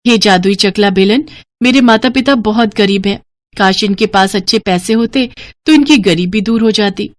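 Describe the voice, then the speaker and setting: A woman voicing a meek, dutiful young daughter-in-law in a Hindi cartoon story, polite and expressive. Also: medium pitch, moderate pace, no echo, lightly acted. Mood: excited, hopeful.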